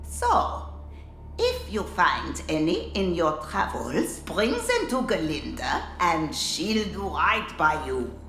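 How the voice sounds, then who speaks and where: A woman's voice: on the low side, wildly swooping pitch, slow, fairly bright tone, roomy sound, soft background music, full character voice. A woman playing a brash, scheming nonhuman runesmith in a video game, boastful and scornful, speaking of herself in the third person. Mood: sly, scheming. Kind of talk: dramatic video game acting.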